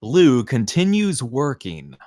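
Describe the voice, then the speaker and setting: A man's voice: medium pitch, very animated, slow, a little mellow, no echo, studio quality. A man narrating the stage directions of a screenplay at a table read.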